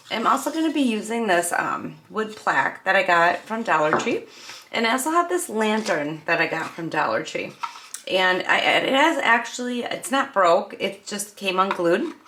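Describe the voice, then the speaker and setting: A woman hosting a home crafting tutorial, her voice raspy as she gets over a cold. She rambles casually, with frequent ums and self-corrections as she works. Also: on the high side, sharp tone, a little hiss or hum. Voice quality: slightly raspy. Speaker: craft channel host. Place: home craft table in the evening.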